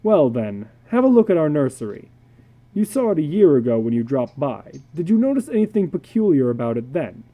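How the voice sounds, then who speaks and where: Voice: medium pitch, big pitch swings, brisk, muffled recording. A reader voicing a worried, defensive father in a dramatic story reading, with an earnest, questioning manner. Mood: anxious.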